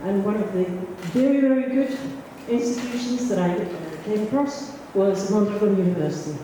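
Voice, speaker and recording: A woman's voice: mid-range voice, brisk, slight background noise. Finnish-born woman in an echoey hall. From Finland. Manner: chatty, self-deprecating, with fillers. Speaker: panelist. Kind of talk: conference panel self-introduction. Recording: echoey hall, distant mic.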